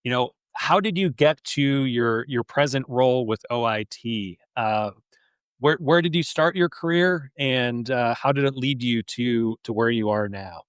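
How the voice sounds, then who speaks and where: An American man hosting a podcast interview in a conversational way, often halting mid-question. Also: mid-range voice, smooth, no room sound. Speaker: podcast host, chief executive.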